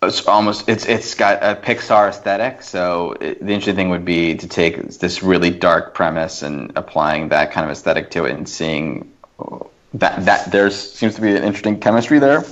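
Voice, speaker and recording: A man's voice: low-pitched, normal speed. A man guesting on a casual film podcast, arguing his opinions with emphasis and repeated words. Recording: dull, lo-fi voice track.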